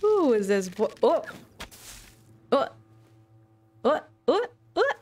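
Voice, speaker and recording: A woman's voice: high-pitched, big pitch swings, takes it slowly, darkish tone. A woman streaming a game, giving casual, slangy live reactions with playful interjections.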